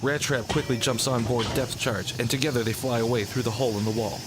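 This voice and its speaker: A man serves as narrator of an audio drama, reading scripted action in the present tense. His voice sits at a medium pitch, with music playing clearly behind it. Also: fairly even intonation, fast.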